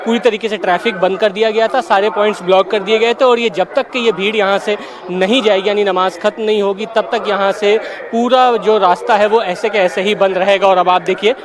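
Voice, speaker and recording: A man's voice: high-pitched, fairly even intonation, brisk. A man giving a live television field report as a news reporter on a street. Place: outdoors on a crowded city street. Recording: loud crowd noise around voice. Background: crowd.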